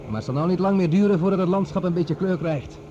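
An actor playing a commanding scientist on Mars in a Dutch radio drama, speaking with authority. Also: male voice, high-pitched, fast talker, mellow, natural voice. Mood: visionary, calm. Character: commanding older male scientist on Mars, optimistic, urgent. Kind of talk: Dutch radio play acting. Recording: dull, noisy old radio drama recording.

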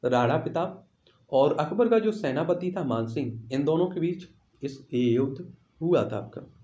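Higher-voiced male quiz teacher in echoey room. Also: animated, unhurried, dark tone. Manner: repeats questions and answers for emphasis. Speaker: teacher presenting exam quiz questions. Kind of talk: instructional quiz walkthrough.

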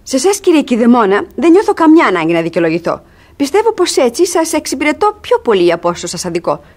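An actress playing a bold young runaway ward in a classic radio comedy, pleading yet proud and theatrical. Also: female voice, high-pitched, big pitch swings, a little mellow. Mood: defiant.

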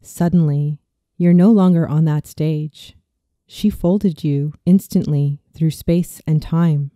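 A meditation narrator in their thirties with a deeply mellow, soft-edged tone and a medium pitch. The delivery is soothing and deliberate, reading a scripted visualization. The sound is dry and close, with hardly any room. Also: steady tone, no echo. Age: 30s.

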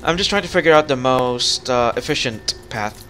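A man's voice: mid-range voice, expressive, moderate pace, sharp tone, faint music behind. A man chatting casually through co-op gameplay as he leads, jokey and often thinking aloud. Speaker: gamer leading a co-op session.

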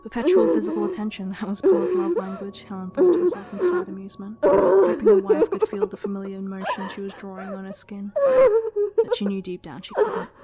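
A woman's voice: a little high, wildly swooping pitch, a little fast, crowd noise. A woman narrating a story as a dramatic reading, in an emotive, intense manner. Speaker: narrator and writer. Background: prominent music.

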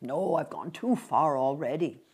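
A woman voicing a very old, well-spoken gentleman in an audiobook, courteous and pleading, with a hesitant, flustered delivery. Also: deep voice, animated, unhurried, slightly rough, full character voice. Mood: wistful, coaxing. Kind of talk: audiobook character voice.

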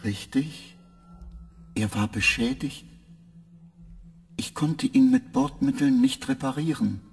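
An actor playing a weary male spaceship computer that answers in halting, clipped, literal sentences. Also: medium pitch, wildly swooping pitch, vocal fry, soft background music, exaggerated voice. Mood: flat.

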